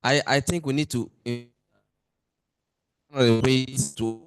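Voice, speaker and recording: A man's voice: medium pitch, fairly even intonation, measured pace, soft background music. A man, a lecturer raising an earnest complaint at a meeting and pressing his questions. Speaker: lecturer and association member. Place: meeting hall.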